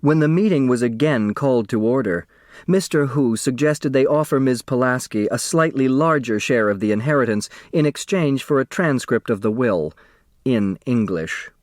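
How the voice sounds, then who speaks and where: A man reading a story as an audiobook narrator, in expressive scripted narration. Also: mid-range voice, normal speed, dry recording, studio quality.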